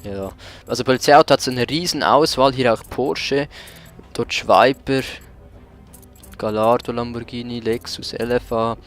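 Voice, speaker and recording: A man's voice: mid-range voice, unhurried, soft background music. Man casually commentating a video game, often hesitating. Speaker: let's play host.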